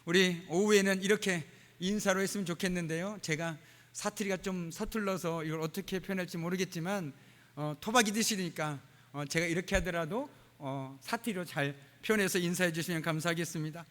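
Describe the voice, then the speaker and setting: A man's voice: high-pitched, brisk, fairly bright tone. A man preaching a sermon as a pastor in a church, dropping dialect phrases and frequent "uh" fillers. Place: church sanctuary. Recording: church hall with long reverb.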